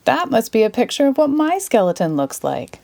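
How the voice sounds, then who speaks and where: A woman's voice: on the high side, big pitch swings, brisk, gravelly, a little mellow, voice pushed up. A woman voicing an eager male dog in a storybook read-aloud. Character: barking male dog from the show's audience.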